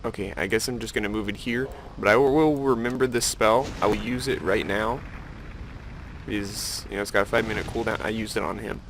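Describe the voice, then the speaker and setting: A man's voice: on the low side, fairly quick, fairly bright tone, a little hiss or hum. A man giving casual, rambling gameplay commentary, thinking aloud with playful self-mockery. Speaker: gamer narrating his own playthrough.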